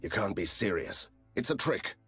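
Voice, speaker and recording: A low-voiced monster hunter in a fantasy game. He is sardonic and resolute, and his dry lines rise and fall in lively swings. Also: moderate pace, soft background music, heavily acted. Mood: defiant anger. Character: sardonic, determined male monster hunter hero. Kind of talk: dramatic video game hero acting.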